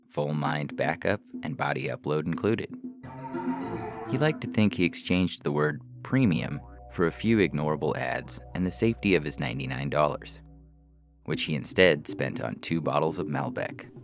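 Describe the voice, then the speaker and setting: Really deep voiced guy reading a sci-fi story, lots of gravel, music playing behind him. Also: lively intonation, moderate pace. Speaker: podcast narrator reading science fiction stories. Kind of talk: scripted story narration.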